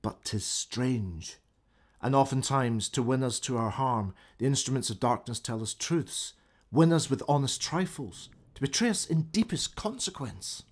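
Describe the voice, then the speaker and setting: An actor playing a wary, honourable noble general in a Shakespearean radio drama, thoughtful and formal. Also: male voice, medium pitch, lively intonation, unhurried. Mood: wary, warning.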